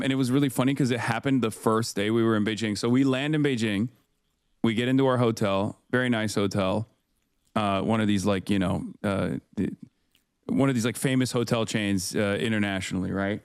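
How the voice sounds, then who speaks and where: A man's voice: medium pitch, steady tone, a little fast, clear, resonant tone, dry recording, clean studio sound. A man in his thirties from Turkey, talking loosely in a podcast conversation with many fillers and restarts. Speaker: political commentator, guest.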